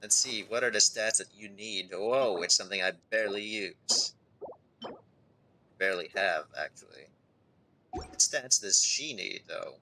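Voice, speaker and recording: A man's voice: on the low side, measured pace, pauses often, pushed and bright, slight background noise, rough recording. A man streaming a game, talking casually as he thinks aloud, reads out on-screen text and asks himself questions. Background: music.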